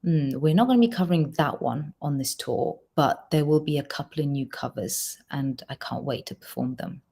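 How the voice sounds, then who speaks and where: Quick-talking low-voiced woman singer. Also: gravelly, warm tone, slightly rough. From Georgia. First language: Georgian. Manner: quick, chatty, lots of ums. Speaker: singer reading fan questions. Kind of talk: casual livestream Q&A.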